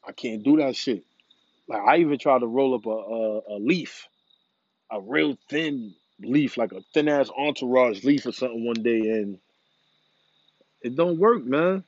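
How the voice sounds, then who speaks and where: A man's voice: mid-range voice, unhurried, darkish tone, no echo, dull audio. A man in a casual, rambling monologue, laid-back and profane, full of slang. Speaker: vlogger. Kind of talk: casual monologue about smoking.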